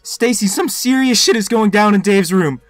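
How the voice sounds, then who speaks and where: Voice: medium pitch, moderate pace, no room sound, faint music behind, close to an everyday voice. Flustered young male fan voiced by an actor, dry sound. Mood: frantic. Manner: urgent, stammering. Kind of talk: animated comic dub voice acting.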